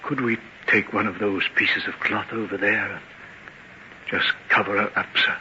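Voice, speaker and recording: Sharp-voiced, grief-stricken servant. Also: male voice, fairly low pitch, fairly even intonation. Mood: shocked, grieving. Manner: halting, deferential. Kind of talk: old-time radio acting. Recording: muffled, hissy old radio recording.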